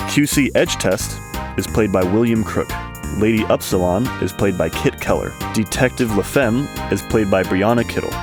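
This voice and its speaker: A man reading scripted show credits as an announcer. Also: lowish voice.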